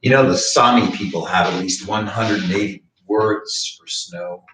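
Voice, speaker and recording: A man acts out an aging professor in a staged reading, explaining things in a lowish voice. He is picked up from a distance in a very echoey room, with faint noise. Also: normal speed, fairly bright tone, close to an everyday voice. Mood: didactic. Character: 68-year-old male professor lecturing over gin.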